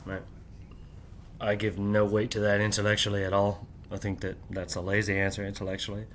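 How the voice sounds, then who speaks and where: A man with a low-set voice speaks in a loose, rambling late-night monologue and keeps checking in with a repeated "right?". He holds the volume down at home, and a faint hiss of room noise sits behind him. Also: completely flat delivery, brisk. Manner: keeps voice down while family sleeps. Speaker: channel host.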